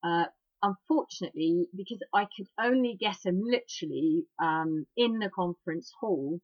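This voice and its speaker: A woman's voice: on the low side, normal speed, no echo. Chatty woman host, dull dry sound. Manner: chatty asides, hesitant fillers. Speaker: radio host. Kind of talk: informal talk on iridology history.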